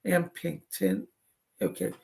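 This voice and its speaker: Gravelly, raspy man giving psychic readings. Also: fairly high pitch, sing-song, takes it slowly, a little mellow. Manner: soothing, reassuring, chatty. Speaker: psychic medium. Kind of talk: live on-air psychic readings.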